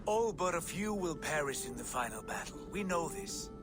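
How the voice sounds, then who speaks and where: An actor playing a loyal, earnest war god in a video game cutscene, with score behind. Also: male voice, a little high, very animated, moderate pace, music in the background. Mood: resolute. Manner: earnest, resolute. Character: Tyr, loyal male war god, steady and blunt.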